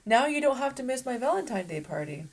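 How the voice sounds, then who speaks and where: A woman voicing a big, loud, eager moose in a children's storybook, with an emphatic way of talking. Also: high voice, sing-song, fast, pitched above the performer's own voice, full character voice, poor audio. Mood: coaxing. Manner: bellowing, emphatic.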